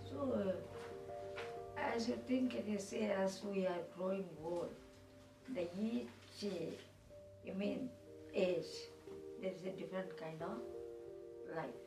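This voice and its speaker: Older grandma, telugu first language, halting english, echoey and far off. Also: female voice, mid-range voice, over music. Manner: slow, halting, searching for words. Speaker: grandmother, interviewee. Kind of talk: interview. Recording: echoey room, distant mic.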